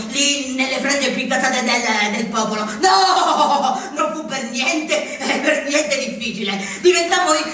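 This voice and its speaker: Halting, vain rabble-rouser, echoing stage. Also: male voice, very high-pitched, sharp tone, full character voice. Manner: halting, obsessively repeating phrases, swelling into oratory. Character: male far-right rabble-rousing unionist, vain and tough. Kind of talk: theatrical monologue. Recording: very echoey stage, distant mic, noisy.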